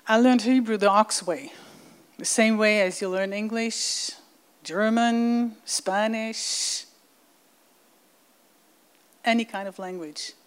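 A woman's voice: mid-range voice, clear, resonant tone. A woman from the Netherlands, giving an earnest, enthusiastic presentation with slides to a congregation. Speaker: guest presenter.

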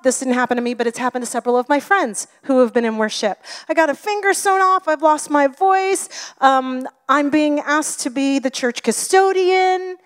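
A woman preacher with a high voice; expressive, often sinking to a whisper mid-sermon.

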